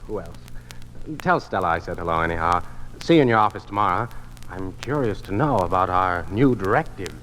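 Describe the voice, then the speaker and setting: An actor playing a wry, easygoing museum music department head with an informal manner in an old radio drama. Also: male voice, low voice, animated, brisk, low-quality recording. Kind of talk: mid-century radio drama acting. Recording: old radio recording with background noise.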